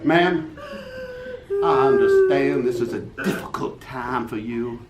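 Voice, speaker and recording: A man voicing a smooth-talking male prosecutor, theatrical and falsely sympathetic, in an amateur play reading. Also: medium pitch, wildly swooping pitch, slow, full character voice. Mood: oily. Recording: echoey room with loud noise.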